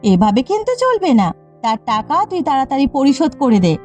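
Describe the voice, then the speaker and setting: A mellow, dark-toned eldest sister from a village cartoon voiced by a woman. She is upright and stern, speaking like a weary elder who admonishes her siblings. Light music plays underneath. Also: high-pitched, sing-song, a little fast. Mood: stern, questioning. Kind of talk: cartoon dialogue. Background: faint music.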